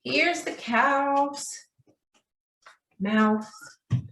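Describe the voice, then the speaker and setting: A woman's voice: high-pitched, very slow, fairly bright tone. A woman preschool teacher giving a craft demonstration for young children in a sing-song, checking-in manner, recorded in a room at some distance from the microphone. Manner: sing-song, addressing children as friends, frequent check-in questions. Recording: roomy echo, distant microphone.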